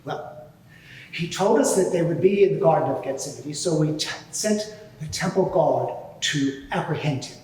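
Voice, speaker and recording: A man playing an indignant, self-righteous high priest giving pompous, deliberate testimony in a dramatised courtroom inquiry. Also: lively intonation, a little mellow, lightly acted. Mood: measured, disdainful. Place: large courtroom before a live audience. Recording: very echoey hall, distant mic.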